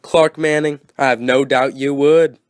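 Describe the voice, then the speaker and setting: A man's voice: medium pitch, fairly even intonation, a little slow, clear, resonant tone, no room sound, close to an everyday voice. A man playing an eager, teasing 1920s radio sports co-host, peppy and earnest, full of period slang. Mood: wry.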